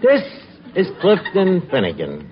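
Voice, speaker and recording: An actor playing a wisecracking, slangy bartender in a 1940s radio comedy. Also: male voice, high voice, wildly swooping pitch, unhurried, exaggerated voice. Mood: exasperated, wry. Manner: folksy, ungrammatical, wisecracking. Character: wisecracking, slangy male bartender running a tavern. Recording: muffled, very noisy vintage radio, audience.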